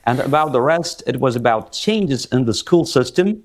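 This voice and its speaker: A man's voice: mid-range voice, lively intonation, normal speed. A man hosting a casual chat about languages, thinking aloud and hesitating with fillers. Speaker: host.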